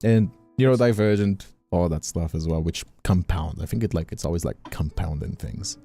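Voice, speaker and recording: A man's voice: low-pitched, moderate pace, dark tone, soft background music. A man from Brazil chatting casually and informally as a streamer on a livestream, repeating himself for emphasis. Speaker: streamer and voice actor.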